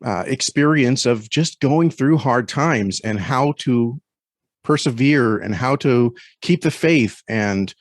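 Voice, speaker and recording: A man talking casually as an interview guest, earnest and reflective, with halting phrasing. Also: mid-range voice, expressive, no echo, studio quality. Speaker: podcast guest and story critic.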